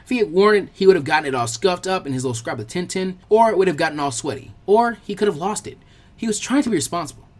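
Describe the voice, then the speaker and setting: A man narrates scripted fan fiction. His voice sits a little high and moves expressively up and down as he tells the story. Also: expressive, fast. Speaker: audiobook narrator.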